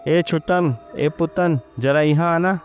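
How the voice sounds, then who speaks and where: A man's voice: a little high, steady tone, takes it slowly, faint music behind, pitched above the performer's own voice. A man voicing a cruel, bullying landlord in a Hindi-style village horror story, with a threatening, scornful manner. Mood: commanding. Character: cruel, menacing landlord man, bullying his farm workers.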